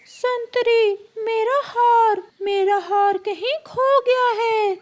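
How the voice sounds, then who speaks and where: A woman's voice: extremely high pitch, fairly even intonation, drawn-out words, heavily acted. A woman voicing an imperious, refined bird queen with a commanding manner in a children's cartoon. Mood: distressed. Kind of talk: Hindi moral-story cartoon dubbing.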